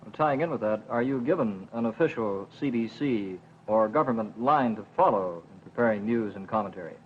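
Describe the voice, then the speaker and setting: A man's voice: on the low side, brisk. A man working as a television interviewer, putting formal questions in a 1950s broadcast. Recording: muffled 1950s television recording, faint noise.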